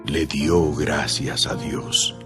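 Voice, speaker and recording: A man narrating scripture in a measured, solemn storytelling manner for an audio drama. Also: a bass voice, wildly swooping pitch, over music.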